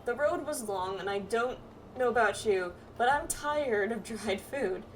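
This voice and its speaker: A woman voicing a friendly, courteous young male astrologer who cooks at camp in a game, with a gentle, slightly hesitant manner. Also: fairly high pitch, lively intonation, a little slow, far from the mic, slight background noise, poor audio. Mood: friendly, helpful. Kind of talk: voiced game dialogue.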